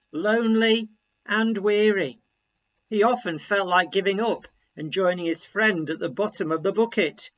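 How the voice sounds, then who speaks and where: A man reading a children's story aloud in a deliberate, expressive storytelling manner. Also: high voice, vocal fry, dull audio.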